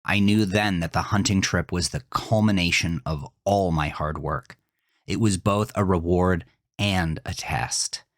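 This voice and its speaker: A man reading a scripted first-person horror story in a measured, deliberate, dramatic way. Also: deep voice, dry recording, studio quality. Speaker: narrator of a horror fiction podcast.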